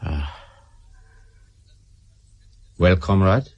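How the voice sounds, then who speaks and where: An actor playing a brisk, commanding Soviet major in a radio drama, curt and formal. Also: male voice, low-pitched, gravelly, muffled recording, full character voice. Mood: formal, guarded.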